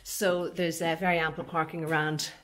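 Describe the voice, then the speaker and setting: Low-pitched Irish woman giving a property tour. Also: little pitch movement, moderate pace, heavy background noise. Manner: chatty, explanatory, talking while walking. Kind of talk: informal property walkthrough narration. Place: inside empty commercial unit, large bare rooms.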